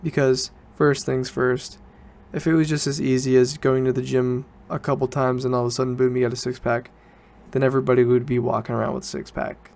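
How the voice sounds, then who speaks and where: Young man chatting casually, flat pitch. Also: a little fast, gravelly, hardly any pauses. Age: teens to young adult student. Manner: informal, rambling, self-correcting. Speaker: podcast host. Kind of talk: casual solo podcast chat.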